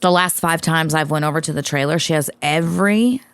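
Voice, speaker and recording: A woman with a low-set voice co-hosts a casual podcast, talking bluntly and cursing freely as she tells animated stories. The sound is dry and close, with no room around it. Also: brisk, clear, resonant tone, no echo. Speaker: podcast co-host. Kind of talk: casual chat.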